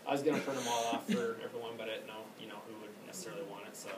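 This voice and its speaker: A man giving informal hands-on training as a technician, talking casually and haltingly and often trailing off. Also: on the low side, fairly even intonation, over a noisy crowd. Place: indoor room at an elementary school. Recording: distant mic, echoey room, clear background noise.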